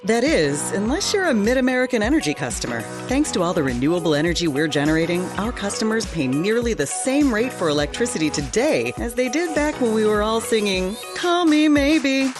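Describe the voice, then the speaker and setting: A woman's voice: medium pitch, very animated, a little fast, hardly any pauses. Woman doing a chatty radio ad, swoopy intonation, music underneath. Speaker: commercial announcer. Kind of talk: conversational scripted ad read.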